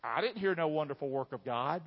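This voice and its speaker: A man's voice: on the high side, expressive, brisk. Southern guy preaching, folksy and emphatic, voice swings all over, big echoey hall, kinda muffled sound. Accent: Southern American. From southern United States. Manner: emphatic, folksy, asks the audience questions. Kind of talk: sermon to a congregation. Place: large church room. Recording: dull, reverberant large hall sound.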